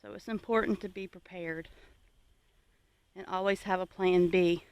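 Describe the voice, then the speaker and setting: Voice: medium pitch, fairly even intonation, a little slow, smooth, no room sound, highs cut off. A homesteading vlogger from the United States giving an informal, reflective talk to viewers in an earnest, plainspoken, advisory manner.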